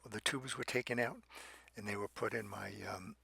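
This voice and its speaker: A man narrating an unscripted how-to about a repair, speaking methodically and haltingly in short phrases with pauses between them. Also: on the low side, fairly even intonation, gravelly, bright, forceful tone, husky, dry recording. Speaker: radio restorer and presenter.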